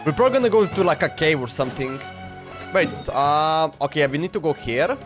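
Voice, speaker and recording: Casting: male streamer, twenties, high voice, casual speculative commentary, faint music behind. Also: animated, a little fast. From Slovenia. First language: Slovenian. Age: 20s. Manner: musing aloud, speculative, repetitive. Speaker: game livestreamer. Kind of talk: casual live reaction commentary.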